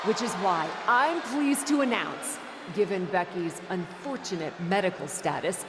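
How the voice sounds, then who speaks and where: A woman's voice: lowish voice, expressive, unhurried. An actress playing a cocky, self-important female wrestler in a video game, giving a showy, sarcastic promo. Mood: boastful. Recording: long arena reverb, clear background noise.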